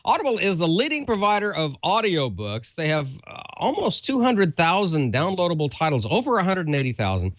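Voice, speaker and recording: A man's voice: high-pitched, sing-song, a little fast, few pauses, no room sound. A man co-hosting a show, giving a casual, conversational sponsor read that rambles a little. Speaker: show co-host.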